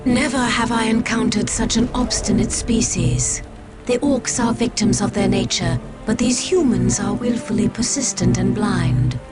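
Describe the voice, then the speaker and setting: A woman's voice: mid-range voice, measured pace, loud music behind the voice, natural voice. A woman voicing a haughty, disdainful alien warrior in a game cutscene, formal and scornful. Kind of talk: video game cutscene acting.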